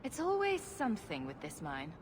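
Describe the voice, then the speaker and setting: Wry, lively low-voiced rogue heroine. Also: female voice, moderate pace, big-room sound, background noise. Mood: dry. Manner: flippant, dry humour. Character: wry, sarcastic female rogue adventurer and champion. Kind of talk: dramatic video game dialogue.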